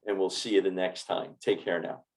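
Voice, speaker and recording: A man's voice: low voice, level tone, fairly quick, darkish tone, low-quality recording. A man, a doctor hosting a health interview podcast, speaking enthusiastically and often repeating words. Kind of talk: casual interview talk.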